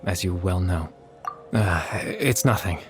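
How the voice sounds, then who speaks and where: Low-voiced, gentle, weary young man. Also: animated, takes it slowly, soft background music. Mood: weary, polite. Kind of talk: video game voice acting.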